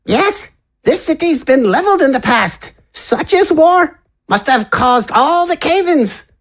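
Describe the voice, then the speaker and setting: A man voicing a frail, nervous old male librarian with a squeaky, shaky voice in a fantasy audio drama. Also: big pitch swings, unhurried, heavily acted. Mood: explanatory, wary. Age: older.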